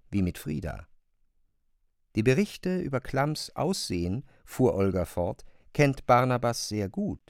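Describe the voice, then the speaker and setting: An actor playing the narrator of a literary radio play, telling the story in a composed, observant manner. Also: male voice, medium pitch, a little mellow, dry recording, clean studio sound.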